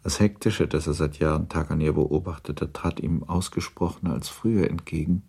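An actor as the sober, detached narrator of a literary radio play, reading scripted prose in a gravelly voice. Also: male voice, rumbling low, fairly even intonation, normal speed, dark tone, heavily acted.

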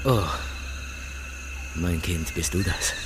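An actor playing an aging village father with slow, halting, questioning speech in a radio drama. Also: deep voice, noisy background. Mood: tender, searching. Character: aging village father greeting his daughter.

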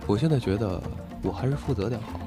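A man's voice: fairly low pitch, fast, over music, natural voice. A man playing a sarcastic, officious doctor in an audio drama, lecturing matter-of-factly with mock seriousness. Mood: mock-grave. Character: sarcastic male doctor, glibly alarming, officious. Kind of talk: Chinese audio drama acting.

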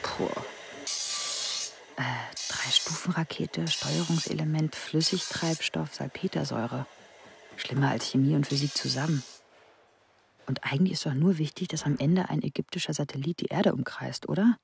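Curious young woman, low even voice. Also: moderate pace, gravelly, heavy background noise. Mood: overwhelmed. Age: young adult. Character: curious, direct young woman on first job. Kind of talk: radio play dialogue and first-person narration.

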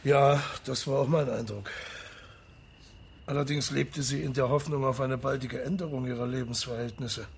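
A sober male police investigator in a radio drama, played by a man, with a mid-pitched voice. He is polite but persistent, putting formal questions in a matter-of-fact way. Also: steady tone, normal speed, slight background noise, close to an everyday voice. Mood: probing. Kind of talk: radio play acting.

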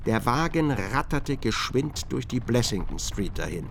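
A male narrator reads literary prose for a radio drama with lively, swinging intonation. His voice has a gravelly creak, and clear ambient noise runs behind him. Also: mid-range voice, a little slow.

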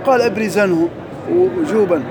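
A man teaching Arabic grammar in a mosque, drilling through examples quickly and reciting verses slowly. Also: high-pitched, a crowd in the background. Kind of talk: lesson parsing grammar and verse.

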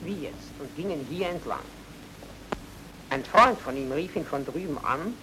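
An actor playing an obliging but shady Viennese baron in a radio drama, with a courteous, theatrical, evasive manner. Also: male voice, fairly high pitch, lively intonation, moderate pace, pushed and bright, slight background noise, rough recording. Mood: solemn.

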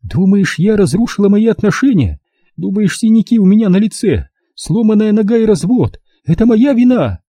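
Man putting on a high-pitched, distraught, bitter mother. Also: sing-song, normal speed, warm tone, breathy edge, dry recording, exaggerated voice. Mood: bitter, desperate. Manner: emphatic, reproachful, pressing with rhetorical questions. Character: distraught middle-aged mother, bitter, pleading, insistent. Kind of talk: audiobook character dialogue.

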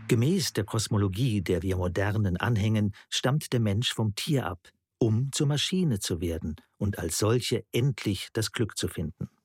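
A man's voice: on the low side, lively intonation, a little slow, slightly rough, no room sound, studio quality. A man reading a scripted literary radio essay as its narrator.

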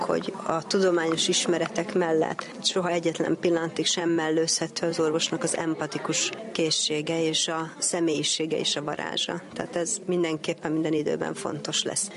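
Female physician interviewee, earnest and persuasive, flat intonation, recorded at a busy venue with audible crowd. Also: sits low, hardly any pauses. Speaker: family doctor and homeopath, interview guest. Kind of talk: reflective interview answers. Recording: busy crowd chatter behind.

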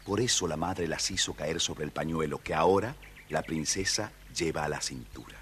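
A man's voice: low voice, husky. A man with an Argentine accent narrating a scripted children's story as the storyteller.

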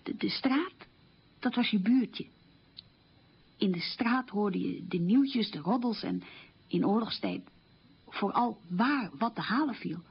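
An actress playing a reflective middle-aged woman recalling wartime childhood hunger in a halting, emphatic radio monologue, with a husky, creaky voice. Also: mid-range voice, lively intonation, exaggerated voice. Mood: reflective, candid. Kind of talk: radio play monologue, conversational reminiscence. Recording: dull lo-fi radio recording.

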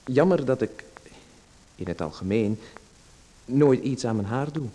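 A man's voice: mid-range voice, expressive, unhurried, mellow, poor audio. An actor playing a polite, hesitant man who stammers while a salesman pushes him. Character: polite, hesitant well-known man being sold to. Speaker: radio drama actor. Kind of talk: radio play acting.

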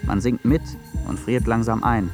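An actor playing a film-crew narrator, reading scene directions in a German radio play. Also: male voice, mid-range voice, steady tone, normal speed, music in the background, lightly acted. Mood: detached.

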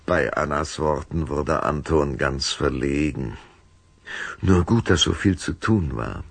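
A man with a gravelly, husky voice narrating a children's audio drama as an expressive, measured storyteller. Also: a bass voice, no echo.

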